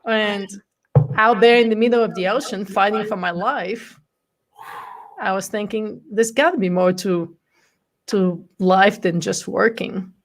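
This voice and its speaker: A woman's voice: mid-range voice, steady tone, unhurried. A woman in her forties from Bulgaria, talking candidly as an interview guest, with frequent ums. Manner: frequent ums and light laughter, candid storytelling. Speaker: guest speaker. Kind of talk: casual interview chat.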